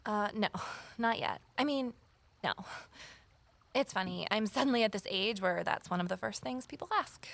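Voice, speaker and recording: A woman's voice: mid-range voice, normal speed, vocal fry, a bit bright, dry recording. An actress playing an earnest, self-doubting young woman sleuth in an audio drama, speaking in a wry, conversational way. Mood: thoughtful, friendly. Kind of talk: naturalistic audio drama acting and first-person narration.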